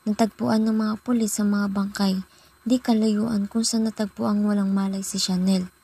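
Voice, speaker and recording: A woman's voice: mid-range voice, flat delivery, normal speed, warm tone, a little hiss or hum. A young woman giving scripted narration as the storyteller of a student radio drama. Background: background music.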